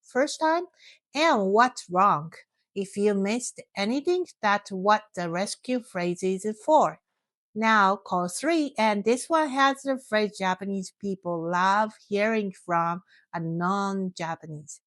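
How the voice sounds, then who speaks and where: A woman's voice: medium pitch, animated, slow, a bit bright, no room sound, clean studio sound. A woman giving scripted language instruction in short, encouraging phrases, speaking directly to the learner as a teacher. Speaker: Japanese language teacher and host.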